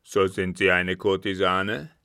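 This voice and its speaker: A man voicing a stern, suspicious army captain in an audiobook, gruff, haughty and accusing. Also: sits low, flat delivery, measured pace, sharp tone, dry recording, clean studio sound, full character voice. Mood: suspicious, scornful. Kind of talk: audiobook character voice.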